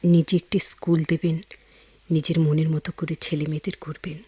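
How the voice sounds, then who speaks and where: A woman's voice: low voice, a little fast, slightly rough. An actress playing a spirited, idealistic young woman in a Bengali radio drama, speaking expressively with a Bangladeshi accent. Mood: earnest, assured. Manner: earnest, resolute, expressive.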